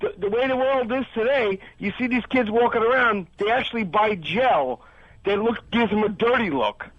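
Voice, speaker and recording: A man's voice: high-pitched, moderate pace, no echo. A man with a New York accent calling in to a radio show, talking in a blunt, rambling way. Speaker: caller. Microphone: phone line. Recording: muffled phone line.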